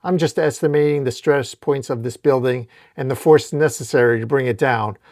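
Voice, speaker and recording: A man's voice: mid-range voice, moderate pace, a little mellow, no echo, clean studio sound, natural voice. A man voicing a cold, arrogant alien machine villain with a clinical, imperious manner in a told story. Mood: cold, superior.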